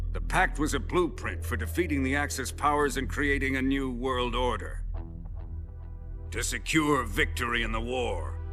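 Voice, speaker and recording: An actor playing a menacing, grandiose middle-aged Soviet colonel in a video game cutscene, speaking in a pompous, deliberate, theatrical way over music. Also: male voice, mid-range voice, pushed and bright. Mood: grave, expository.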